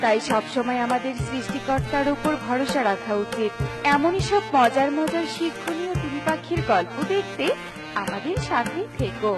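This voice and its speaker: A woman's voice: high voice, expressive, normal speed, loud music behind the voice. A woman narrating a scripted children's story.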